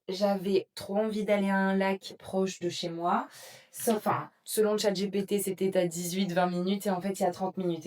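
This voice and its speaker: A woman's voice: medium pitch, a little mellow. A young adult woman chatting casually to camera in a vlog, rambling with lots of fillers. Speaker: vlogger.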